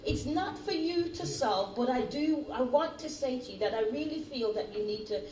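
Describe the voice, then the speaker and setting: Woman, high voice, insistent, passionate, public comment, distant echoey hall. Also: animated, moderate pace, darkish tone, a little hiss or hum. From born in Britain, grew up in Jamaica. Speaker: audience member at a community town hall. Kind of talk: impassioned public comment from the floor. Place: large indoor meeting hall. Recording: echoey hall, distant mic, audience present.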